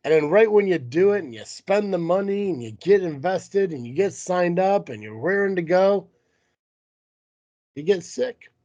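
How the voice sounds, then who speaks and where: A man's voice: sits high, expressive, moderate pace, a little mellow, dry recording, dull audio. A man hosting a casual podcast while sick with a cold, talking in a self-deprecating, foul-mouthed, grumbling way. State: sick with a cold, runny nose. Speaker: podcast host. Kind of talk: casual chat, ad read at start.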